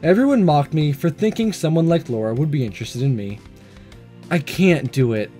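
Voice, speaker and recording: Animated, higher-voiced man playing a game. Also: moderate pace. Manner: playful, excitable, self-deprecating. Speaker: gaming video host. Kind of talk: visual novel let's-play reading and commentary. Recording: music under the voice.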